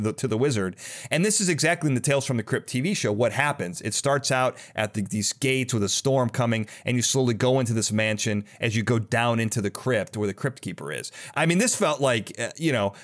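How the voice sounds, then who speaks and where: A man hosting a podcast, talking casually and with enthusiasm in an interview conversation. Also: mid-range voice. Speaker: podcast host.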